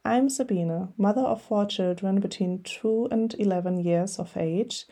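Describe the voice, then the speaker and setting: German lady reading her podcast intro, soft mellow voice, kinda husky, earnest and encouraging. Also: medium pitch, a little slow. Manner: earnest, encouraging, reads from a script. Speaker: podcast host.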